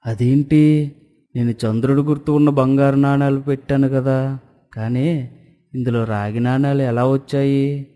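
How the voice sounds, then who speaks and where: Man acting a clear-voiced, earnest villager. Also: mid-range voice, little pitch movement, measured pace, pauses often, mellow, close to an everyday voice. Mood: puzzled. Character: honest wronged villager, earnest and respectful. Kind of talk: animated moral story dialogue.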